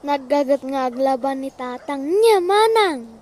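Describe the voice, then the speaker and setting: High-voiced little Ilocano child. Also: female voice, expressive, unhurried, darkish tone. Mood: wistful. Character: small, tender younger child, devoted to parent. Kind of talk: Ilocano radio drama acting.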